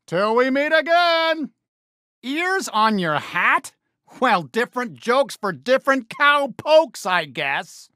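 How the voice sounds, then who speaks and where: A man's voice: extremely high pitch, sharp tone, no room sound, studio quality, exaggerated voice. A man voicing a friendly, folksy American toy cowboy sheriff in a video game, eager and encouraging with a cowboy drawl. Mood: excited, cheery. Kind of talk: video game character voice lines.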